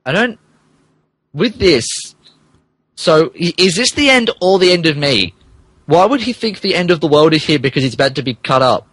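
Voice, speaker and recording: A man's voice: on the high side, big pitch swings, moderate pace, fairly bright tone, slightly rough, no echo, poor audio. A man reading fan fiction aloud as a podcast host, in mock-dramatic narration broken by amused asides. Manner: theatrical, breaks character to comment.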